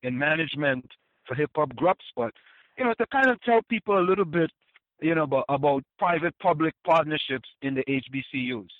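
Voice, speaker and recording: A man's voice: on the high side, fairly quick, dry recording. A man in his sixties from Trinidad and Tobago, a former Wall Street compliance officer, talking earnestly as an interview guest and often repeating words as he restarts. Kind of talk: casual interview talk. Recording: phone-line call, dry.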